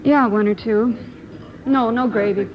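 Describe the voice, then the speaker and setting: A woman's voice: a little high, fairly even intonation, measured pace, background noise, natural voice. A woman playing a world-weary, evasive suspect in an old radio crime drama, answering curtly. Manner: evasive, curt. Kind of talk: 1940s-50s radio drama acting. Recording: dull old radio recording, crowd chatter behind.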